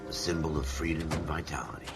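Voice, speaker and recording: Brooding noir narrator, super deep solemn voice, loud music under it. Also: male voice, gravelly. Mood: solemn, portentous. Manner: solemn, measured. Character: brooding male noir narrator of a postwar city.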